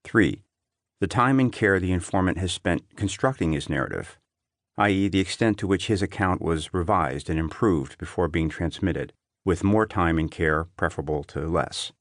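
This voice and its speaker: A man reading an essay as an audiobook narrator, in a measured, clearly phrased delivery. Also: low voice, no room sound, studio quality.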